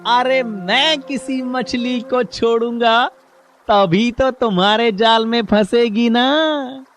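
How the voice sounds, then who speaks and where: A man's voice: very high-pitched, slow, fairly bright tone, slight background noise, exaggerated voice. Lakhan, a man doing a cocky young fisherman. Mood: mocking. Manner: taunting, teasing.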